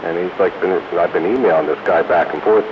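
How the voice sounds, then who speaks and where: A man's voice: deep voice, fairly quick. A man chatting casually with fellow hobbyists over a CB radio. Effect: CB radio transmission. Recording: muffled CB radio with clear hiss.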